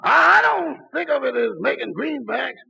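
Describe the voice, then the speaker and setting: Very gravelly, high-voiced cocky valet. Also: male voice, sing-song, moderate pace, bright, forceful tone, full character voice. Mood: boastful, teasing. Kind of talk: 1940s radio comedy acting. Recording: dull, lightly noisy old radio recording.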